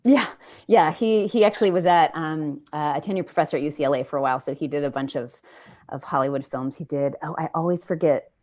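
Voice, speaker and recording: A woman speaking as a playwright on a panel over a video call, expressive and emphatic, with asides and rhetorical questions. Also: low voice, fast. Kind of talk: animated conversational panel talk.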